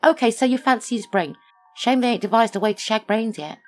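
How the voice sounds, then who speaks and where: Woman voicing a brash, high-pitched teasing best friend. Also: expressive, fairly quick, soft background music, close to an everyday voice. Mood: exasperated. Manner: slangy, mocking, exasperated. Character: brash, teasing young female best friend, blunt and impatient. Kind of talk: scripted audio story dialogue.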